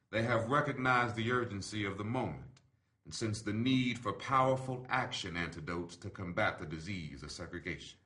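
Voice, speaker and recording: A man reading an open letter aloud in a measured, deliberate, formal delivery. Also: on the low side, bright, forceful tone.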